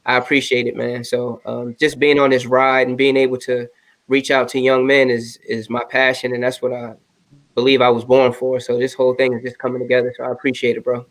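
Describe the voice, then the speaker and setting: A man's voice: mid-range voice, flat delivery, a little fast, poor audio. A man in his late thirties speaking as a guest mentor in a casual video call, earnest and grateful. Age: 30s.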